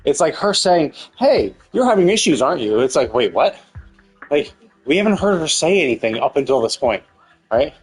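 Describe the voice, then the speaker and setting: A man's voice: on the high side, very animated, normal speed, breathy edge, faint music behind. A man commentating casually on a live game stream, thinking aloud in a hesitant, rambling way and repeating himself, with casual swearing.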